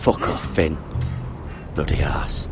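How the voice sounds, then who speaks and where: An actor playing a level-headed young recruit in a video game, speaking in short, plain, earnest phrases. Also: male voice, rumbling low, fairly even intonation, takes it slowly, exaggerated voice. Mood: tense. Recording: very loud music under the voice.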